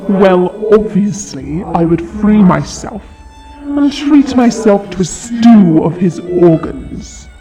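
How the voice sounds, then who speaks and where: Guy doing a snooty impatient dragon, talks slow and mocking, fantasy audio play w loud music behind. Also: sits high, lively intonation, voice pushed up, poor audio. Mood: impatient threat. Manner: condescending, slow and deliberate taunting. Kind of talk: fantasy audio drama acting.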